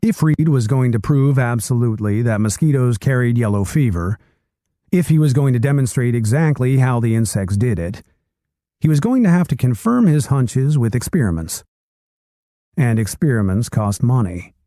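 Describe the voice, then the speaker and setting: Lively, mellow-voiced male book reader. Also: medium pitch, no echo, studio quality. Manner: expressive storytelling delivery. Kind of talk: reading a nonfiction book aloud.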